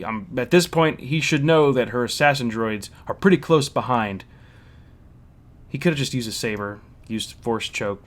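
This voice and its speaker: A man giving a casual, opinionated review and story recap, speaking in a blunt, critical way. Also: mid-range voice.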